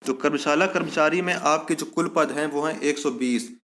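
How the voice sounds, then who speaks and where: Male exam-prep presenter, fairly high pitch, instructive read-and-explain delivery with repeated key points. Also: moderate pace, fairly bright tone, a little hiss or hum. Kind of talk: informational explainer, reading out and explaining a notice.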